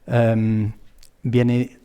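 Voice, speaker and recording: A man from the Bristol area of England with a British accent, a native English speaker, gives a public presentation in a halting way, often repeating himself as he searches for words. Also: mid-range voice, completely flat delivery, mellow, clear, resonant tone. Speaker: conference speaker.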